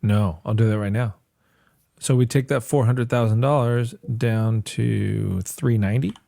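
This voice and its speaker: A man's voice: lowish voice, fairly even intonation, a little slow, gravelly, warm tone, slight background noise. A young man co-hosting a mortgage podcast, explaining things in an easygoing, joking way. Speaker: podcast co-host, loan officer. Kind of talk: casual chat.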